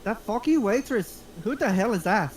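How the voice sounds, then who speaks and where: A man's voice: sits high, expressive, normal speed. A man playing a jittery, cranky crook who complains petulantly in a script table read. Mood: nervous, annoyed. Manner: whiny, indignant, sweary. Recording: faint background noise.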